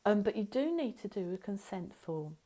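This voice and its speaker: A middle-aged woman talks students through a lesson. Her voice is mellow and warm, and her pitch sits in the middle. She rambles a little, with asides and fillers. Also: sing-song, moderate pace. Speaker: Reiki teacher. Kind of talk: recorded course lesson.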